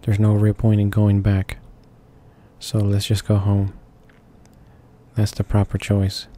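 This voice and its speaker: A man's voice: fairly low pitch, completely flat delivery, slow pace, warm tone, a little hiss or hum. A man reading visual novel text aloud as a let's player, stumbling over words now and then. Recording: game music behind the voice. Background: video game music.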